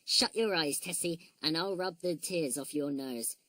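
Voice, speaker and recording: A woman voicing an eager, cheerful little wooden toy boy, with a chirpy, excitable delivery. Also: sits low, a little slow, dry recording.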